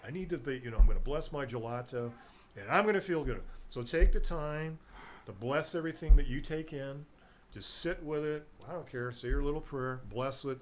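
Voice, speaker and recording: A man's voice: fairly high pitch, moderate pace, a little hiss or hum. A middle-aged man chatting casually as a guest on a local talk show, rambling and meandering, laughing easily. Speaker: guest, herbal nutrition seller.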